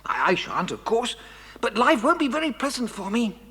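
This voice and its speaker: An actor playing an agitated, earnest kidnapped scientist who speaks haltingly in a children's audio drama. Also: medium pitch, big pitch swings, bright, forceful tone. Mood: startled, anxious. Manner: halting, stammering. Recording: long reverberant hall sound.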